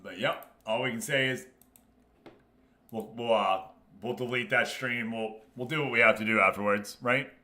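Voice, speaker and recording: A man's voice: medium pitch, normal speed, bright, forceful tone. A man hosting a casual game livestream, talking informally and directly to his viewers. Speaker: streamer and host. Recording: roomy, echoing room sound.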